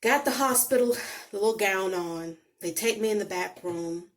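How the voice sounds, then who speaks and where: A middle-aged woman from the Bahamas with a Bahamian accent, telling her life story to camera slowly and reflectively, recorded on a phone on a holder. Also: medium pitch. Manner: slow, deliberate, reflective delivery with emphatic pauses.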